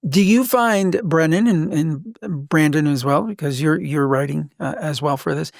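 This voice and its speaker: A man's voice: on the high side, moderate pace, gravelly, dry recording, studio quality. A man hosting a casual interview, rambling and correcting himself as he works toward his questions. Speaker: podcast host, interviewer.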